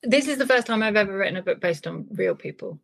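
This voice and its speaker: A woman's voice: mid-range voice, animated, fast talker, gravelly, fairly bright tone. A woman novelist talking about her writing in a casual interview, thoughtful and full of small restarts. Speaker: novelist guest.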